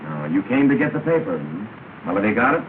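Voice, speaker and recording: A gruff menacing intruder. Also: male voice, fairly low pitch, very animated, quick pace. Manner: barking orders, menacing. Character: gruff armed intruder, a commanding man. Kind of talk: 1930 film comedy acting. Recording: tinny, hissy 1930 film soundtrack.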